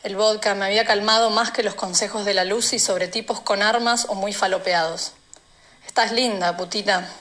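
Female reader, Argentine accent, mid pitch, for first-person literary narration. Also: steady tone, a little fast, few pauses, fairly bright tone. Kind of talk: literary narration of a novel extract.

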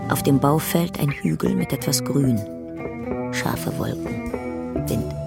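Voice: medium pitch, slow pace, gravelly. A narrator reading terse, scripted stage directions for a radio drama. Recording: prominent plucked guitar music behind.

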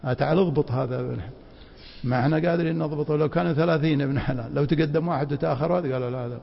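Gravelly man teaching in a mosque. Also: a little high, racing. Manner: quizzes the class with rhetorical prompts. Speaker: Islamic law teacher. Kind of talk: classroom lecture with questions to students. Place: mosque study circle. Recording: dull lo-fi recording in a reverberant hall.

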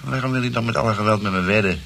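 A stiff, haughty jurist from a radio drama, with a very low voice. He speaks formally and curtly, full of disdain and suspicion, on a faintly noisy old recording. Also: racing, gravelly, a bit bright. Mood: suspicious, scornful. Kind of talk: radio play acting in Dutch.

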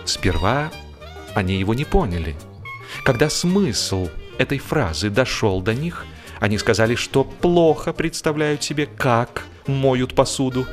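Male audiobook narrator, mid-range voice, wry comic material, expressive and theatrical with playful pauses. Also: medium pitch, soft background music. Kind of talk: dramatised humorous audiobook narration.

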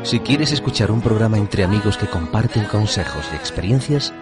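A man's voice: on the low side, animated, a little fast. A man reading a scripted radio promo as an announcer. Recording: loud music behind the voice.

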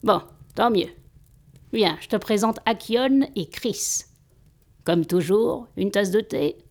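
A woman's voice: mid-range voice, slow. An actress playing a blunt-spoken, hospitable female master in an audio drama, speaking with familiar, instructive assurance. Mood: welcoming.

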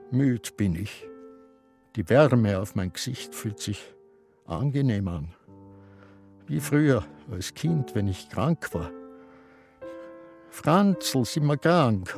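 An elderly Austrian sanatorium resident in a radio drama. He is querulous and rambling, drawing out his words slowly, with lively swoops in pitch. Music plays clearly beneath him. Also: heavily acted. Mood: drowsy, reminiscing. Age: older. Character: grumpy, forgetful old Austrian man in a sanatorium. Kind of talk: radio drama acting with inner monologue.

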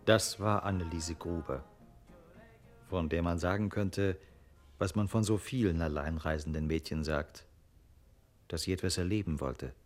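The storyteller of a radio play in a very low voice. The scripted narration is measured and reflective. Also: male voice, faint music behind.

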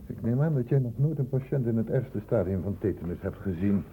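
Strict doctor in an old radio play, blunt n urgent, lively pitch, kinda muffled sound. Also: male voice, brisk, a little hiss or hum. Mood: stern, probing. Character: stern, insistent male doctor questioning young people. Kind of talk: Dutch radio play acting.

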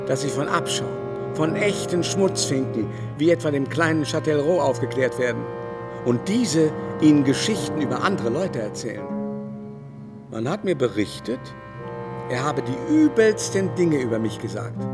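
Haughty, lamenting old aristocrat, music behind. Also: male voice, fairly high pitch, big pitch swings, normal speed. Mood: scandalized. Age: older. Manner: theatrical, declamatory, long-winded lamenting. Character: aging male aristocrat, haughty, indignant, gossipy society moralizer. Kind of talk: literary radio drama acting. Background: musical score.

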